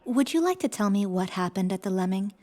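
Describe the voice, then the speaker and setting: An actress plays a learned fantasy guardian woman with a medium-pitched voice. She speaks formally and with measured care, sternly admonishing her listener. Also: expressive, dark tone, lightly acted. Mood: stern concern. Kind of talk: audio fiction acting.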